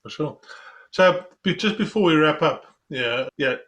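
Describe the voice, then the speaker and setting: A man hosting a podcast interview, relaxed and halting, dropping in "yeah" between thoughts. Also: fairly high pitch. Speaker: podcast host. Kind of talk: casual interview chat.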